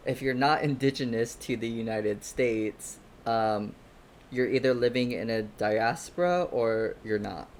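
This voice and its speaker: Young guy, normal pitch, thinking out loud on a podcast, keeps rephrasing. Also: a little hiss or hum. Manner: thoughtful, corrects and rephrases himself mid-thought. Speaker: podcast panelist. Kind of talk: casual podcast discussion.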